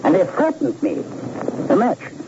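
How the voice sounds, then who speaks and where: An actor playing an arrogant, impatient Nazi spy ringleader with a German accent, smug and commanding, in 1940s radio drama style. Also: male voice, high voice, huge pitch swings, moderate pace, muffled recording. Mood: irritated. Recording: noisy, band-limited vintage radio recording.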